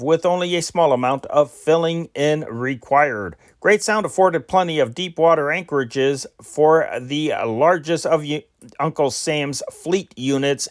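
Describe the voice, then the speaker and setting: Man reading old news dispatches. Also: on the high side. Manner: steady read-aloud delivery with occasional stumbles and restarts. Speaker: news reader.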